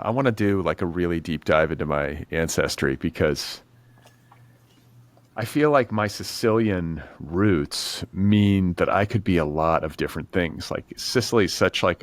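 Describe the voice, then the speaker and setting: A man's voice: sits low, no room sound. A middle-aged man hosting a casual pop culture podcast, rambling and hedging as he talks. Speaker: podcast host.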